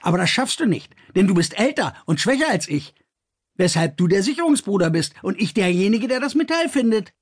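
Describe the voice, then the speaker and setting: A male narrator voicing a bold, defiant young man who talks fast and challenges his older partner. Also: high-pitched, very animated, slightly rough, voice pushed up, heavily acted.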